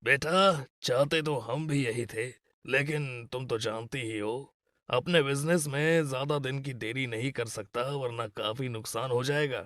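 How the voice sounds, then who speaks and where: A man's voice: medium pitch, measured pace, fairly bright tone, no echo, studio quality, close to an everyday voice. A man playing a genial, busy older businessman grandfather in a cartoon moral story, speaking emphatically.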